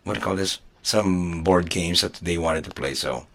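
Hoarse low-voiced man co-hosting a podcast. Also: a bit bright. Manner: laughs often, halting with fillers. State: hoarse, losing his voice. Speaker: podcast co-host. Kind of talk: casual chat.

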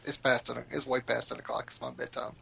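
Male podcast co-host, mid pitch with a gravelly edge, teasing casual talker. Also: level tone, fast talker, sharp tone, a little hiss or hum. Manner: teasing, chatty storyteller. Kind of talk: casual chat.

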